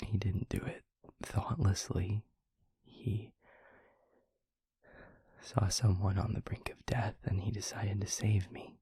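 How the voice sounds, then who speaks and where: A man playing a brooding, gentle vampire boyfriend in an intimate audio roleplay, speaking in a breathy, gravelly half-whisper with halting, earnest delivery. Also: fairly low pitch, little pitch movement, dry recording, full character voice. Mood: somber, reflective. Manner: halting, hushed, earnest, with long pauses. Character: brooding, gentle male vampire boyfriend confiding his past. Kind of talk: intimate audio roleplay, soft confessional monologue.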